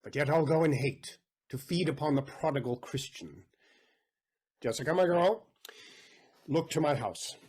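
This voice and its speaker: A man's voice: on the high side, expressive, unhurried, pauses often, darkish tone, slightly rough. A man playing a bitter, suspicious old miserly father, a moneylender, in a Shakespearean audio play. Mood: grudging, uneasy.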